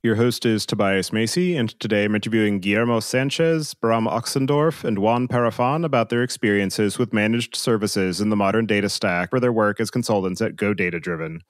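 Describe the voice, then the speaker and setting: A man's voice: fairly low pitch, brisk, hardly any pauses, no echo, clean studio sound. A man hosting a podcast interview, asking his guests short, plain questions. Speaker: podcast host.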